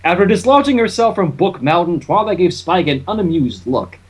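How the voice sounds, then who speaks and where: A man narrating fan fiction in an animated storytelling style. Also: high voice, moderate pace, slightly rough. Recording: noticeable background noise.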